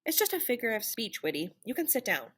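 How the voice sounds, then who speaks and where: A woman's voice: a little high, sing-song, fast, a bit bright, breathy edge, exaggerated voice. A woman voicing an exasperated storybook band teacher who dramatically scolds and coaxes young pupils. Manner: dramatic, put-upon, scolding and coaxing.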